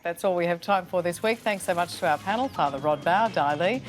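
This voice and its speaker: A woman's voice: on the low side, a little fast, soft background music. A woman hosting a TV panel and asking probing, conversational questions. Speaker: TV panel host. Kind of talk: live TV panel discussion.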